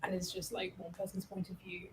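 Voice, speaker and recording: A woman's voice: on the low side, completely flat delivery, fairly quick, poor audio. A woman chatting casually while playing a game, thinking through ideas aloud in a tentative, reasoning way. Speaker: co-commentator on a game playthrough. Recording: clear background noise over voice.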